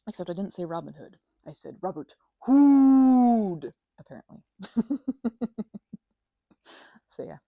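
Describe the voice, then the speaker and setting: A woman's voice: mid-range voice, big pitch swings, takes it slowly, long pauses, no echo, narrow, tinny audio. A woman doing a casual ASMR roleplay as a chatty, scatterbrained best friend, rambling playfully from one tangent to the next.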